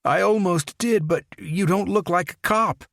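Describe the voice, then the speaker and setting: A man's voice: sits high, a little slow, vocal fry, fairly bright tone, no echo, studio quality, pitched above the performer's own voice, exaggerated voice. A man voicing a nervous, evasive accountant caught out.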